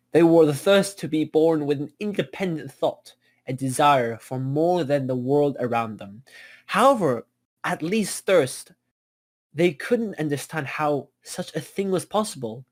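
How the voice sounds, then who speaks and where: A man reading as the solemn storybook narrator of a fantasy epic, earnest, declamatory and a little halting. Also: on the high side, animated, darkish tone.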